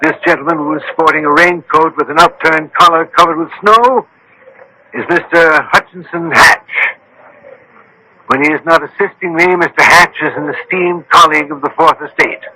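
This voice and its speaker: Commanding, bright-voiced eccentric detective. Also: male voice, on the high side, fairly even intonation, normal speed. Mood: brisk, commanding. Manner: formal, authoritative, dryly witty. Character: brisk, commanding, self-assured eccentric doctor-detective. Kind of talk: vintage radio mystery drama acting. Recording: noisy old radio broadcast recording.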